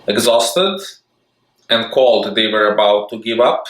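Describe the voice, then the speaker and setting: Male reader for a kids' picture book, animated sing-song delivery, echoey room. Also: fairly low pitch, unhurried. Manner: lively, expressive sing-song reading. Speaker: storybook reader. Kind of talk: children's story read-aloud.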